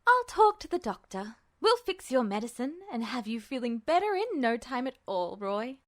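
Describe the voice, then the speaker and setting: A woman's voice: fairly high pitch, sing-song, moderate pace, fairly bright tone. A woman voicing a patronizing, sickly-sweet female nurse in a storybook, cooing as if to a small child. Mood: soothing.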